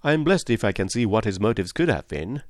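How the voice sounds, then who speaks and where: A man's voice: fairly low pitch, very animated, fairly quick, no room sound, clean studio sound. A male narrator voicing a good-natured Englishman who blusters with indignation. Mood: doubtful.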